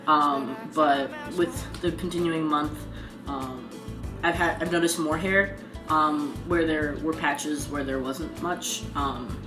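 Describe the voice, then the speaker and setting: A young man talking casually in a video diary, pausing often and filling gaps with "um". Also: a little high, fairly even intonation. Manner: halting, frequent ums. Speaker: vlogger. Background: guitar music.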